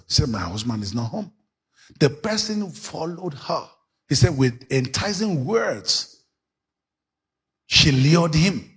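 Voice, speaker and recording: A male pastor preaching a sermon, dropping his voice low and then bursting into shouts. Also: breathy edge. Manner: preaching with sudden shouts and dramatic swings in pitch.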